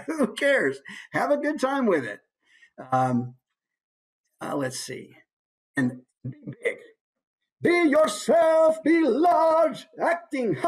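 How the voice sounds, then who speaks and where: Man giving an informal, demonstrative talk. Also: on the high side. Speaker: voice actor giving a presentation.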